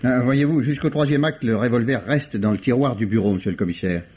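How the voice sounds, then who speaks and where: An actor playing a shaken, practical theatre stage manager who explains events plainly and hesitantly in an old radio play. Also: male voice, mid-range voice, a little fast, a little mellow, breathy edge, natural voice. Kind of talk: 1950s radio drama acting. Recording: dull old radio broadcast with faint hiss.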